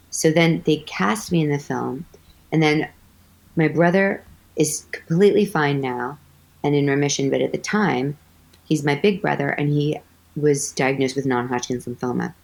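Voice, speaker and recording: A woman with a low voice, chatting animatedly as an actress guest in an interview, telling stories with lots of "like". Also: creaky, low-quality recording. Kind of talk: casual interview storytelling.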